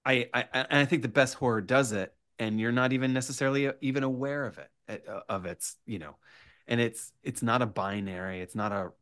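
A man speaking as a guest writer in a casual podcast interview, animated and rambling, halting often and swearing freely. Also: mid-range voice, clean tone.

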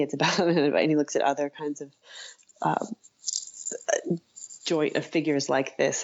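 Low, creaky-voiced woman, hesitant speaker. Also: darkish tone, lo-fi sound. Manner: hesitant, halting, thinking aloud. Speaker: interview guest, writer and author. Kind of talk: casual interview conversation.

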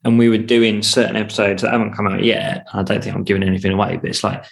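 Gravelly, low-voiced British man chatting. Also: little pitch movement, quick pace. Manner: informal, sweary, uses tag questions. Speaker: comedian and actor, interview guest. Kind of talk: casual chat.

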